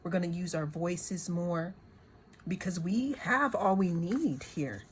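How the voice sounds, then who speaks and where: A woman reads oracle cards in a hoarse, lowish voice, taking her time over every phrase. She circles back and repeats words as she searches for what to say. A faint background hiss sits under her voice. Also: takes it slowly. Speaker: tarot and oracle card reader. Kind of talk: slow, reflective spiritual card reading.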